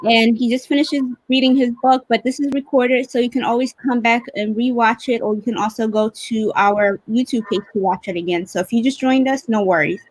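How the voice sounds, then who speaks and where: A woman's voice: a little high, steady tone, brisk, few pauses, no room sound, rough recording. A woman hosting an informal livestream, speaking in an appreciative, encouraging manner over a video call. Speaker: host of livestreamed read-along.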